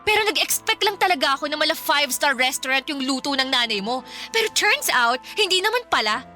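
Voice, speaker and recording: High-pitched, snobbish young girlfriend. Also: expressive, a little fast, faint music behind. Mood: defiant, scornful. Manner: bratty, sarcastic, sharp-tongued. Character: blunt, snobbish, easily irritated young girlfriend. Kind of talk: Filipino radio drama acting.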